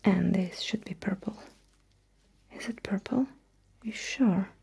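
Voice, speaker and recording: A woman whispering breathily in an ASMR roleplay as a sci-fi technician, giving soft, reassuring instructions close to the microphone. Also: mid-range voice, slow pace, creaky, husky.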